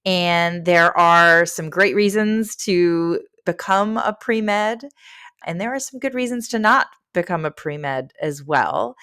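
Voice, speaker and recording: Woman, podcast host, interviewer, enthusiastic, encouraging, lowish pitch. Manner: warm, enthusiastic and encouraging toward her guest. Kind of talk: interview hosting, scripted intro.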